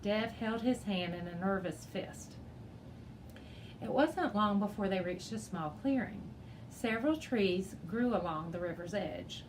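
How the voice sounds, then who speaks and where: A woman reading a children's chapter book aloud as a teacher, in an expressive storybook style. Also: mid-range voice, measured pace, noisy background.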